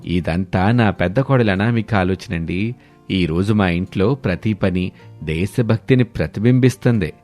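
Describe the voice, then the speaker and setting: A man's voice: low-pitched, normal speed, a little mellow, faint music behind, lightly acted. A man voicing a courteous, proud family patriarch with a polite, formal manner in a moral story. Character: courteous, proud male head of a joint family.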